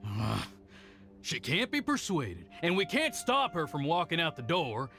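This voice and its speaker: A man's voice: on the high side, wildly swooping pitch, a little slow, bright, forceful tone, exaggerated voice. A man playing an exasperated, scolding father in a video game. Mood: weary annoyed. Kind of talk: game voice acting. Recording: music behind the voice.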